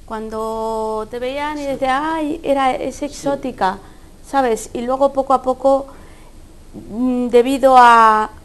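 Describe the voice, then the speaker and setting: A woman's voice: high-pitched, fairly even intonation, slow. A woman from Ecuador with an Ecuadorian Spanish accent, speaking earnestly as a guest in a studio debate. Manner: earnest, speaks from personal experience. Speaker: panel guest.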